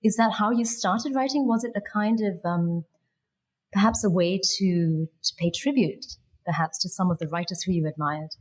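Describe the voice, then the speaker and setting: A woman's voice: fairly low pitch, normal speed, low-quality recording. A woman moderating a literary festival conversation, asking polite, searching questions with many ums and restarts. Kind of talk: onstage interview.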